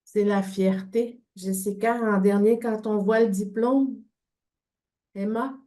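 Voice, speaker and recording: Mellow, halting woman moderating. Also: mid-range voice, fairly even intonation, soft background music. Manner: halting, repeats words while searching for phrasing. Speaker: webinar moderator. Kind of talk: unscripted hosting of a discussion.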